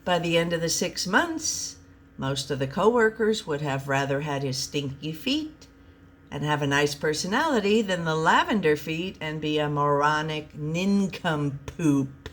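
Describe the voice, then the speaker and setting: A woman's voice: deep voice, animated, unhurried. A woman narrating a comic short story aloud as a storyteller.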